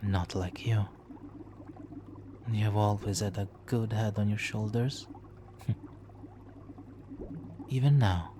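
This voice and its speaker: A man playing a smooth-talking supervillain nemesis in an ASMR roleplay, hushed, soothing and coaxing as he hypnotically seduces a captive hero. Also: lowish voice, background noise. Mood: amused, soothing. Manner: slow, hushed, soothing, coaxing with soft chuckles. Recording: water trickling behind the voice.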